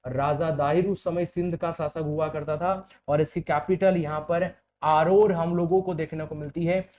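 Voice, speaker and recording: Male exam coach, higher-pitched voice, explanatory and repetitive, with some background noise. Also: darkish tone. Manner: repetitive, explanatory, questioning the students. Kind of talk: classroom lecture for exam preparation.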